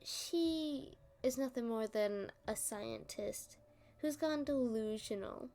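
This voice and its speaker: Slow, sassy supervillainess, played by a woman. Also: a little high, mellow. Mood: scheming, dismissive. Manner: teasing, condescending, drawn-out delivery. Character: playful sassy female supervillain, smug and taunting. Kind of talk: ASMR roleplay monologue.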